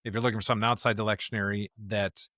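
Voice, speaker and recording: A man's voice: on the low side, flat delivery, rapid-fire, bright, forceful tone, dry recording, studio quality. An American man co-hosting a podcast as a pastor, talking casually and informally in a chatty way.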